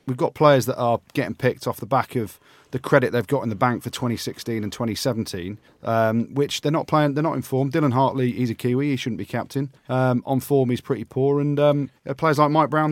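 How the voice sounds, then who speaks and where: A man's voice: mid-range voice, no room sound. A man from England co-hosting a podcast, giving blunt, opinionated takes. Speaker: podcast co-host. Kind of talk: casual sports chat.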